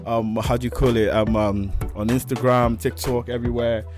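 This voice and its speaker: Male radio host, mid-pitched and upbeat, heavy on the shout-outs, faint music bed. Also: level tone, normal speed. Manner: upbeat, repetitive, hype-style shout-outs. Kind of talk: casual radio interview. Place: radio studio.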